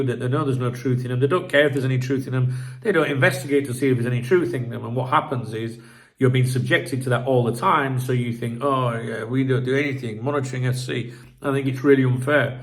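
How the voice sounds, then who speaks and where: A man's voice: mid-range voice, vocal fry. A man with a blocked nose hosting a casual football commentary video, rambling and frank, swearing freely. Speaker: football channel host.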